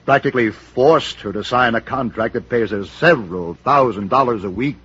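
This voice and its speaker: An actor playing a haughty, touchy television comedian with a formal, affected manner and a husky voice in 1950s radio style. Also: male voice, mid-range voice, moderate pace, a bit bright. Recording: dull old radio recording.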